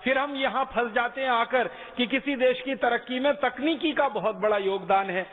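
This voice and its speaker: A man's voice: very high-pitched, normal speed. A man giving a lecture speech, driving his points home with emphatic, repeated rhetorical lists. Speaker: public speaker. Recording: echoey hall on a tinny phone-like line.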